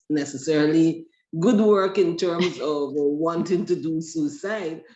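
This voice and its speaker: A woman researcher speaks slowly and deliberately, weighing each phrase as she presents. Her voice carries through an echoey room, and the audio is dull and lo-fi. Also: deep voice, a little mellow, slight background noise. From Guyana. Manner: measured, deliberate. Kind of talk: academic webinar presentation.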